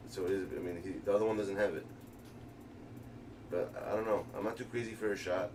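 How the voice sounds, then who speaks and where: New streamer, distant and noisy. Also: male voice, deep voice, fairly quick. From New York. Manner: excited, slangy, rambling. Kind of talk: casual gaming livestream commentary. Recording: distant mic with clear background noise.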